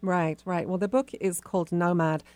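A woman hosting a radio interview, conversational and informal, feeling her way into questions in halting phrases. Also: lowish voice, fairly even intonation, a little mellow, dry recording.

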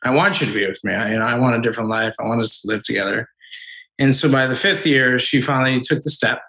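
A man's voice: mid-range voice, fast talker, bright, forceful tone, poor audio. A man in his late twenties calling in, telling his side earnestly and easily. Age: 20s. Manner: earnest, easygoing storyteller. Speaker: caller. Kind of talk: casual storytelling.

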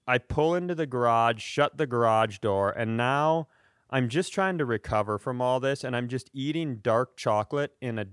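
A man's voice: medium pitch, a bit bright, clean tone, no room sound, clean studio sound. A man hosting a comedy podcast, telling stories casually in a self-deprecating, profane way.

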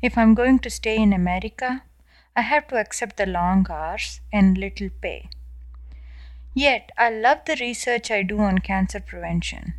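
A woman in her thirties from South India with an Indian accent, reading her own scripted personal essay in a measured, earnest way. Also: medium pitch, no echo. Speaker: podcast essayist. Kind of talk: first-person scripted narration.

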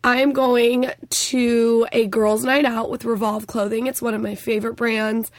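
A woman co-hosting a podcast, hoarse with her voice nearly gone, chatting playfully with her husband. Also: fairly high pitch, little pitch movement, a little slow, no echo, clean studio sound. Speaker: podcast co-host. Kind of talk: casual banter with her husband.

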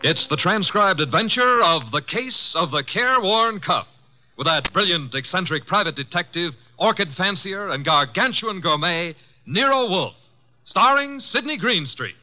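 A man's voice: high-pitched, animated, normal speed. An American man announcing and narrating in the scripted style of 1950s radio. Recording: dull vintage radio broadcast, music behind.

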